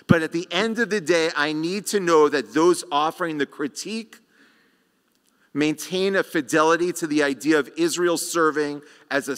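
A middle-aged man, a rabbi, giving a sermon at a lectern microphone with slow, measured delivery and rhetorical pauses. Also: a little high, a bit bright. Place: large sanctuary, at a lectern. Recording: reverberant sanctuary sound.

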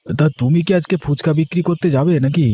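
A man's voice: on the high side, fast talker, dark tone, natural voice. A man voicing an earnest, dutiful young brother in a cartoon moral story, with a familiar way of talking. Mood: eager, apologetic.

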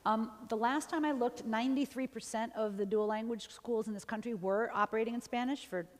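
A woman's voice: a little high, brisk. Middle-aged woman speaking in a big hall. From New York, USA. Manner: chatty, animated, laughs easily. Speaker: university linguistics professor giving a talk. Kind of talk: lecture and audience Q&A. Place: large auditorium stage. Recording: long hall reverb from the auditorium.

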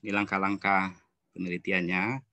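Guy in his 50s presenting slides on a call, lowish sharp voice, bit halting. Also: little pitch movement, no room sound. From West Kalimantan, Indonesia. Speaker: student presenter. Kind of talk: slide presentation at an online seminar. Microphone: video call.

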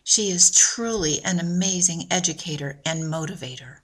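A woman narrating a scripted promotional video in an even, measured, informative way. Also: fairly low pitch, slight background noise.

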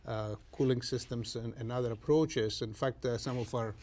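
Male expert, fifties, average pitch, speaking off the cuff in a studio panel discussion. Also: a little mellow.

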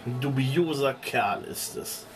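Average-pitched man, casual gamer talk. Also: slow, distant mic, music in the background. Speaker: tabletop role-playing player. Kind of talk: casual in-character table talk.

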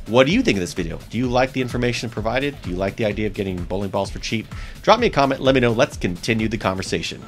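A man in his thirties with a US East Coast accent, hosting a bowling tips vlog straight to camera and talking upbeat and chatty, repeating his key points. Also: on the low side. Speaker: bowling channel host. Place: small indoor room with shelves. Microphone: seated close to camera.

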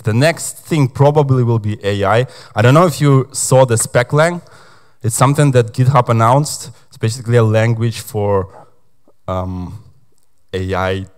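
A man's voice: lowish voice, smooth. Chatty Ukrainian-born man giving a tech talk. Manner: chatty, hesitant with many fillers. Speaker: conference speaker. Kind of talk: conference talk. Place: conference hall stage.

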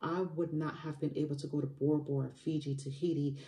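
A woman in her forties talks straight to camera in a motivational run, hammering her points with repeated phrases. Her voice is dark and mellow. It carries a roomy echo, as if the camera sits a little way off. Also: low-pitched, flat delivery, fast, recorded from a distance. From Barbados. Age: 40s. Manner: emphatic, repetitive motivational phrasing with fillers. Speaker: money coach. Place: home room by a window.

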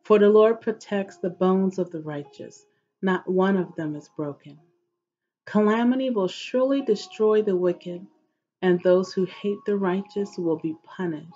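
Middle-aged female devotional reader, slow and solemn, husky tone, dull home webcam sound. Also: medium pitch, mellow. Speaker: host of an inspirational reading series. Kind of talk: devotional scripture reading aloud. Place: living room at home, indoors.

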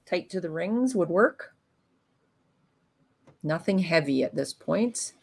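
A woman giving calm, analytical live commentary on a curling match. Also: lowish voice, vocal fry, husky. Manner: calm, analytical play-by-play with pauses.

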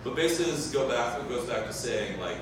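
A man's voice: mid-range voice, lively intonation, quick pace. A young man who owns a vinyl pressing plant, casually explaining to a live audience with lots of fillers and self-corrections, into a handheld mic in a brick bar. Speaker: vinyl pressing plant owner speaking at a science cafe. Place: large brick-walled bar room. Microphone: handheld mic, filmed from far off. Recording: echoey room, far camera, background noise.

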